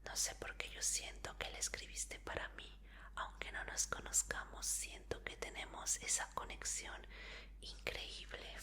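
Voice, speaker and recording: A woman voicing an obsessive female fan who holds her idol captive, in a whispered roleplay, coaxing and apologetic. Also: sharp tone. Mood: adoring. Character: obsessive female fan, sweetly creepy captor.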